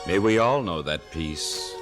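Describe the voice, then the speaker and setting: Holy carpenter in old radio play, very deep voice w lots of rise and fall, gentle, measured. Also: male voice, animated. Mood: reverent. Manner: measured, reverent. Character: gentle, grave, devout carpenter of Nazareth. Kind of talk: old-time radio drama acting. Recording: vintage radio broadcast, music behind.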